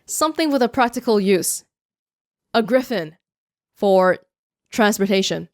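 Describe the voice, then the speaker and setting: Young canadian woman rambling through a q&a, self-mocking, smooth voice right on the mic. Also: medium pitch, expressive, no echo, studio quality. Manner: chatty, self-mocking, quick asides. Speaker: YouTuber. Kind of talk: casual Q&A vlog. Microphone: close studio mic.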